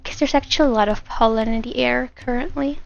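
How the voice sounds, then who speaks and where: A woman with a high voice chats casually as she livestreams a game. She is giggly, and the sound is slightly dull, with faint music behind her. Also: fast, creaky. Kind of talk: casual chat with viewers during gameplay.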